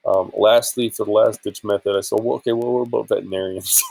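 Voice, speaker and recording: A man's voice: on the low side, steady tone, fast talker, creaky, a little mellow, dry recording, low-quality recording. A man chatting casually in an informal, rambling and hesitant way for a screen-share vlog, sounding a bit tired. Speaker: vlogger and affiliate marketer.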